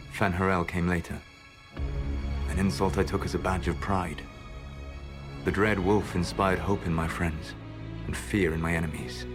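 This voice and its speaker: A man voicing an ancient elven trickster god in a video game, grave, proud and regretful, speaking in measured, formal phrases. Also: low voice. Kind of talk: dramatic video game dialogue.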